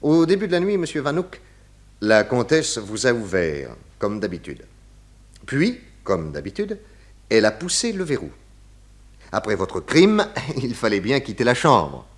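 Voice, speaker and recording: Shrewd male detective, 1960s radio drama, widely swinging mid-pitched voice, formal, deliberate, self-assured. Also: very animated. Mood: assured, explanatory. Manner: theatrical, formal, deliberate enunciation. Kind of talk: 1960s French radio play acting.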